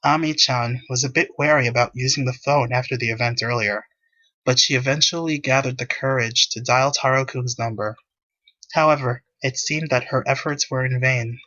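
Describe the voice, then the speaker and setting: A man's voice: medium pitch, fairly even intonation, moderate pace, pushed and bright, no echo, muffled recording. A man reading scripted story narration as the narrator of a visual novel. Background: music.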